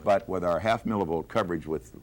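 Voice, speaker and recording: A man's voice: sits low, brisk. A man, a veteran radio staffer, telling emphatic stories from his career in a panel conversation.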